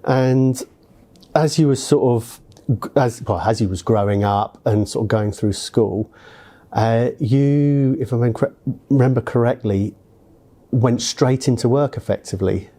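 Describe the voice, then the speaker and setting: A man's voice: mid-range voice, unhurried, warm tone. A man hosting a casual business interview, asking friendly, chatty questions. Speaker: interviewer, host.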